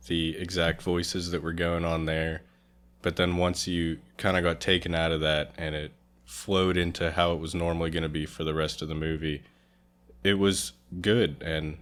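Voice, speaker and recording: Low-voiced man giving casual opinions. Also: a bit bright. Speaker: guest reviewer. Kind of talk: casual review discussion.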